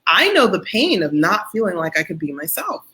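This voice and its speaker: A woman's voice: fairly low pitch, very animated, a little fast, sharp tone, husky. A middle-aged woman host telling personal stories in casual conversation, candid and self-deprecating, acting out her own thoughts and dialogue as she goes. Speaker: community founder and host.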